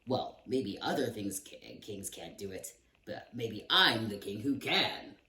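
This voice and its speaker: A grumpy old storybook king who growls and barks orders, as a man reads him aloud. His voice swings widely and booms out in an echoey room. The mic sits some distance away, with faint hiss behind. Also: animated, measured pace, exaggerated voice. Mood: furious. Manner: growling, shouting commands. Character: grumpy old male king, bellowing tyrant.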